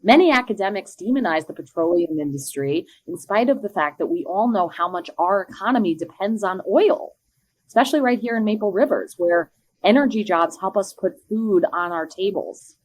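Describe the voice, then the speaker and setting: Pointed, protective mother, mid-pitched played by a woman. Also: animated, moderate pace, darkish tone, natural voice, rough recording. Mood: condescending, firm. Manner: pointed, persuasive. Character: assertive, protective mother from an oil town. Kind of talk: scripted podcast dramatization.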